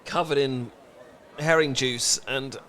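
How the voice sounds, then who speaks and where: A British man acting a flustered, emotionally repressed and lovesick best friend in a comedic romantic audio roleplay, stammering and pleading in halting phrases. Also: medium pitch, steady tone, a bit bright, a little hiss or hum. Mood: tender, confessional.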